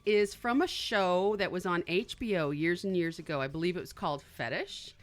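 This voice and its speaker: A woman's voice: lowish voice, normal speed. A woman co-hosting a podcast, chatting casually and animatedly, laughing often and trailing off mid-sentence. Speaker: podcast co-host. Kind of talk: casual chat.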